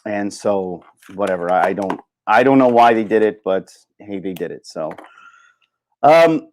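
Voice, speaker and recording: Male livestream host, slightly low voice, halting and off-the-cuff, thinking aloud in casual solo chat. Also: lively intonation.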